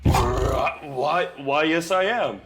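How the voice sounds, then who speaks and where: A man playing a deluded, pleading Hollywood star who thinks he is a tiger, halting and stammering, in an audio drama. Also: a little high, noisy background. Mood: strained, halting.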